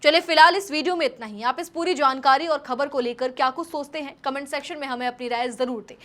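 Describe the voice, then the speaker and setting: A woman's voice: sits high, quick pace, fairly bright tone. A woman news presenter delivering scripted commentary in an emphatic, rhetorical style.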